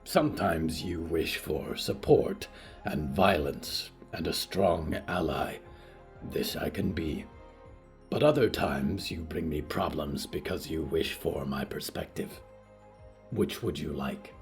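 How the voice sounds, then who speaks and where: A man voicing a calm demon hotel manager who speaks slowly, in deliberate, formal phrases. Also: rumbling low, faint music behind, voice pushed down, heavily acted. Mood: measured, probing. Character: calm, deep-voiced demon hotel manager, low and measured.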